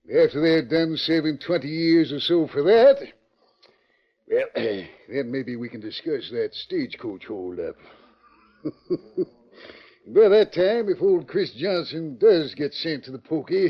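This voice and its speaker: Wry old west sheriff, folksy cowboy drawl, kinda high voice, dull old radio sound. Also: male voice, lively intonation, measured pace. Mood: wry, chuckling. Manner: drawling cowboy talk with chuckles. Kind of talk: 1940s western radio drama acting. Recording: dull lo-fi 1940s radio recording.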